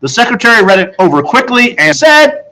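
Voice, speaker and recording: A man's voice: very high voice, big pitch swings, a little fast, creaky, bright, forceful tone, a little hiss or hum, low-quality recording. A man reading aloud to children as a storyteller, in the manner of a teacher narrating a book. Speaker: audiobook reader, teacher.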